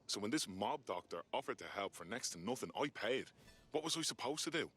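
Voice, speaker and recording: A man's voice: mid-range voice, lively intonation, brisk, a bit bright, slightly rough. A man with a British accent playing an aggrieved working-class character in a video game, blunt and colloquial.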